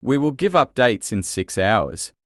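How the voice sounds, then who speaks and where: A man's voice: mid-range voice, sing-song, measured pace, no echo, studio quality. A man playing a grave, matter-of-fact announcer reading a scripted emergency alert broadcast. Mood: grave, official.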